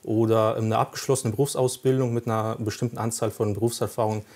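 A man with a fairly low voice and a Russian mother tongue, speaking as a guest in a studio interview. He explains procedures step by step, often pausing with fillers. His delivery stays matter-of-fact throughout. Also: flat delivery, darkish tone. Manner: factual, explaining with frequent fillers. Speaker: police recruitment officer.

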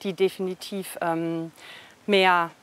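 A woman's voice: fairly low pitch, flat delivery, slow pace, a bit bright, slight background noise. A woman in her forties answering interview questions, hesitating and searching for words. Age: 40s.

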